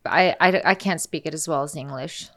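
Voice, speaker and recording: A woman's voice: sits low, normal speed, fairly bright tone. A woman whose first language is Icelandic, a guest on a casual podcast, chatty and upbeat. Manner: chatty, upbeat, laughs easily.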